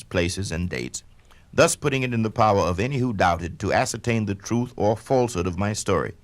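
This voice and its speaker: A man giving a measured, declamatory first-person reading of an autobiography for a vintage spoken-word record. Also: fairly low pitch. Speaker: narrator reading a memoir.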